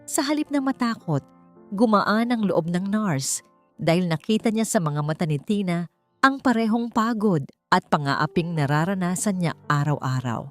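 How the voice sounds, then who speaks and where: A woman's voice: lowish voice, lively intonation, normal speed. A woman narrator reading a scripted dramatic story in a storytelling style. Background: music.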